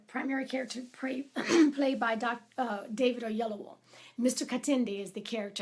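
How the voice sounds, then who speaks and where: A woman giving a reflective motivational talk, deliberate and emphatic, with drawn-out pauses and soft asides. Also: high-pitched.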